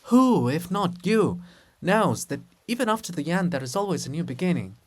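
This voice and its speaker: Casting: male reader playing wise stone saint, earnest comforter, expressive rising pitch. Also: moderate pace. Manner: earnest, rhetorical, soothing. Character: gentle, wise male saint statue consoling another. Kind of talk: dramatic reading of a stage play.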